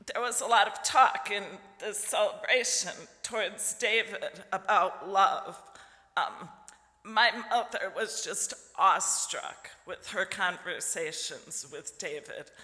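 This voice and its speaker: A congregant from Lithuania speaks slowly at a church service. The voice is bright and chuckles often. The sound carries a long reverb off the walls of the sanctuary. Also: mid-range voice. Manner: chuckles often, unhurried, heartfelt.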